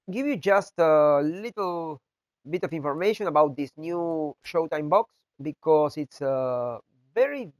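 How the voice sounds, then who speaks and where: Nasal, congested man, slow and halting. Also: on the high side, dry recording. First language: not English. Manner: halting, many fillers and restarts. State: has the flu, congested. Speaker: video game content creator. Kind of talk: casual gameplay tutorial talk.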